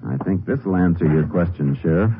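An actor playing a calm, observant Texas Ranger who questions in a clipped, matter-of-fact way in a 1950s radio drama. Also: male voice, low voice, moderate pace. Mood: deducing. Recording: muffled, noisy old radio recording.

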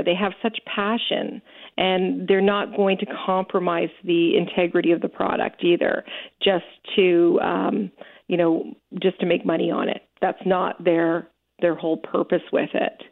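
A woman's voice: lowish voice, level tone, measured pace, vocal fry. A woman who owns a store, a guest on a talk show, speaking with enthusiasm and many fillers. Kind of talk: casual interview chat. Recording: tinny phone-like sound.

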